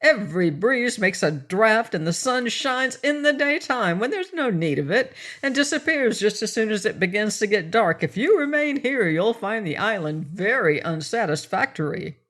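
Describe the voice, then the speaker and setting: A woman's voice: medium pitch, animated, normal speed, hardly any pauses, fairly bright tone, voice pushed up. A woman voicing a fussy, self-important little man who complains and wails. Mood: grumbling. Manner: whining, fretful, wailing.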